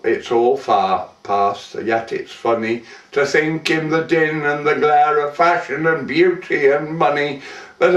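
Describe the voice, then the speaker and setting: Man reciting as a wistful young heiress, slow, echoey. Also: medium pitch, lightly acted. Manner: theatrical, measured, with lingering pauses. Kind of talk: dramatic poetry recitation. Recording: roomy echo, distant microphone.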